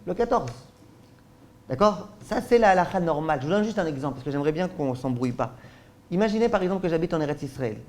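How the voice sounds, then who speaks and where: Man, rabbi giving a lesson, fairly high voice, asks rhetorical questions, acts out examples. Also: lively intonation, quick pace, darkish tone. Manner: frequent checks with listeners ("d'accord?"). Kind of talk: spoken Torah lesson.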